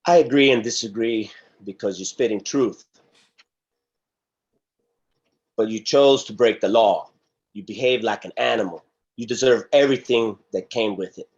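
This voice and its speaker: A man's voice: medium pitch, a little mellow, breathy edge, close to an everyday voice. A man reading the part of a stern, philosophical prison guard in a script table read, solemn and preachy, over a video call. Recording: dull, muffled video-call audio.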